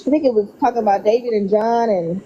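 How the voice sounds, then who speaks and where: A woman's voice: fairly high pitch, fast talker, dark tone, breathy edge. A woman hosting a casual chat with a viewer, talking in a loose, chatty way and sounding tired. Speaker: channel host. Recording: dull lo-fi sound.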